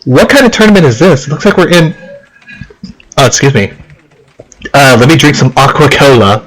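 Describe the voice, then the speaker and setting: A man's voice: medium pitch, animated, normal speed, low-quality recording. A man from Okinawa doing casual game commentary and reading on-screen text, in a rambling, self-deprecating, giggly manner. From Okinawa, Japan. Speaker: let's play host. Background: game music.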